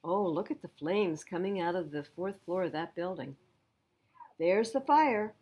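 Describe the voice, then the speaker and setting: A woman reading a picture book aloud to young children as a library storyteller, pausing to explain things simply. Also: fairly low pitch, animated, a little mellow.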